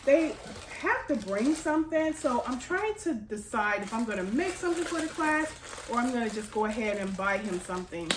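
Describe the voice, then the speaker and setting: An American woman talks through a home baking tutorial in an informal, chatty way. Her voice sits a bit high and sounds congested. The room is noticeably echoey, with faint noise in the background. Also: very animated, moderate pace. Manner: chatty, informal, addresses viewers as "you guys". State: stuffy, congested nose. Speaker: home baker and cooking channel host. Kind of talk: casual cooking tutorial chat.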